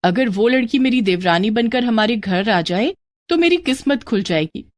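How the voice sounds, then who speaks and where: A woman's voice: fairly high pitch, animated, moderate pace, close to an everyday voice. A woman playing a caring, persistent married sister-in-law in a moral story, earnest and coaxing. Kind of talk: Hindi moral story character voice.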